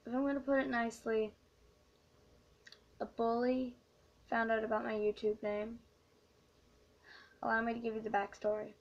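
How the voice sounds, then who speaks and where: A teenage girl vlogging late at night, hoarse and quiet, speaking in a hushed, halting way with many fillers. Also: fairly high pitch, little pitch movement, highs cut off. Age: teens. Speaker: YouTuber. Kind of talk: late-night confessional vlog.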